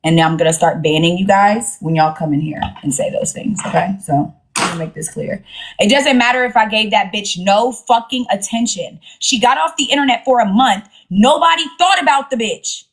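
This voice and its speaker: A woman's voice: mid-range voice, normal speed, rough recording. A woman hosting a casual live stream, talking in a blunt, sassy, slangy way with plenty of swearing. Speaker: livestream host.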